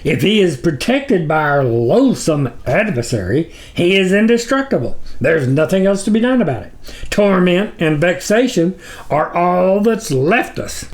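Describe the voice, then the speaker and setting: A man's voice: high-pitched, very animated, measured pace, pitched above the performer's own voice, exaggerated voice. A man voicing a pompous, impatient demon sublord, weary and scornful, in a storybook reading. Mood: tired, imperious.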